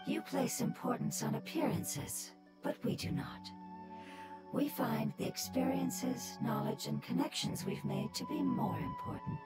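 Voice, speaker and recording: A woman voicing a wise, philosophical fungus creature in a video game, speaking formally and deliberately. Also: fairly high pitch, music in the background. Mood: solemn.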